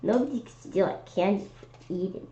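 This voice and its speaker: Woman, children's read-aloud, animated and exclamatory, medium pitch, muffled lo-fi sound, stumbles while reading. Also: a little fast. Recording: dull lo-fi sound with clear background noise.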